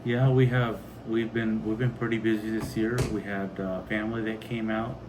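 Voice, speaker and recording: A man's voice: on the low side, normal speed. A middle-aged man chatting casually as a homestead vlog co-host, recounting things plainly in short phrases. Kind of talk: casual chat. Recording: clear steady background noise, like ventilation.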